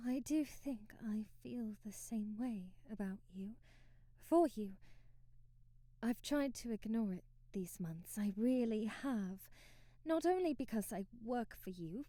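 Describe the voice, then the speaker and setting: Woman acting as devoted young housemaid, flustered and earnest, mellow highish voice, close dry ASMR, breathy half-whisper. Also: no echo. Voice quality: soft, breathy, often dropping to a whisper. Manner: hesitant, earnest, genteel old-fashioned phrasing. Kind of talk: ASMR romantic audio roleplay, period drama. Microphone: close mic, dry.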